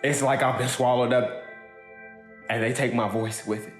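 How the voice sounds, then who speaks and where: Brief: man performing an earnest bereaved kid brother, halting delivery, audio drama, roomy sound with guitar music behind. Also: mid-range voice, fairly even intonation, close to an everyday voice. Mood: frustrated. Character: grieving kid brother giving a heartfelt eulogy. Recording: roomy echo, music underneath.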